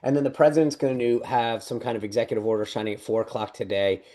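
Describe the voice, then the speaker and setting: A middle-aged man co-hosting a casual political chat, talking loosely with many restarts and quick laughs. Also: medium pitch, flat delivery, mellow. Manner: chatty, full of restarts and laughs. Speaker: podcast co-host.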